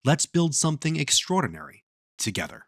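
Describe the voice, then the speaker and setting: A man's voice: a little high, sing-song, brisk, a bit bright, slightly rough, no echo, studio quality. A man reading a scripted promotional spot as an announcer.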